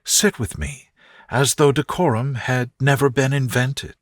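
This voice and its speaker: A man voicing a witty, tender young gentlewoman in love in a soft, slow bedtime storybook. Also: medium pitch, steady tone, dry recording, clean studio sound, voice pushed up, natural voice. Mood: playful. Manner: soft, playful, slow and deliberate. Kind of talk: soothing bedtime storybook dialogue.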